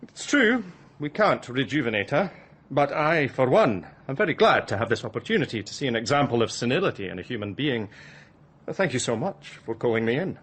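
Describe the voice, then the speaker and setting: An actor playing a callous doctor in a radio drama, clinical and condescending. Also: male voice, medium pitch, sing-song, brisk, a bit bright, breathy edge. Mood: condescending, smug.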